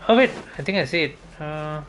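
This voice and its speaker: A man's voice: fairly high pitch, animated, brisk, a bit bright, slight background noise. A man giving casual gameplay commentary as a streamer, musing and thinking aloud to himself. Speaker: game streamer. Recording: game music behind the voice.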